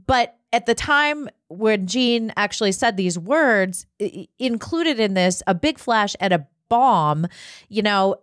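A woman with a medium-pitched voice co-hosts a casual podcast discussion. She speaks conversationally, and the sound is dry with no room around it. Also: lively intonation, slow pace, no room sound. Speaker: podcast guest co-host.

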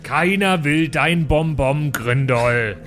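Man playing a slow, plaintive imprisoned dwarf. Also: a little high, fairly bright tone, soft background music. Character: needy, slow-talking imprisoned male dwarf, claiming brotherhood.

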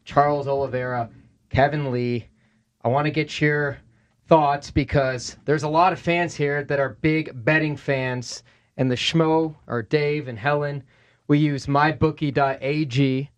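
A man's voice: fairly high pitch, unhurried. A man hosting a podcast, chatting casually and reading a sponsor plug with energy. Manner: energetic, upbeat, pushes promo. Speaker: podcast host. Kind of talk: casual podcast chat with ad read.

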